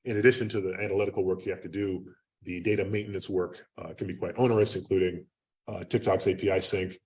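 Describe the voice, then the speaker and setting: A man giving an unscripted academic lecture in a loud, projecting voice, talking conversationally with lots of fillers and restarts. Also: low-pitched, steady tone, fast talker. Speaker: guest lecturer. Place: lecture room. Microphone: speaking away from the microphone. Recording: distant room mic.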